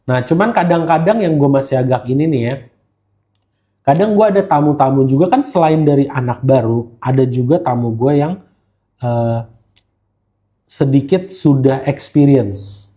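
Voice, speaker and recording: A man's voice: mid-range voice, expressive, moderate pace, pauses often, warm tone. An Indonesian man in casual podcast conversation, talking slangily and slipping English phrases into his speech. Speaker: guest gemologist who hosts live streams.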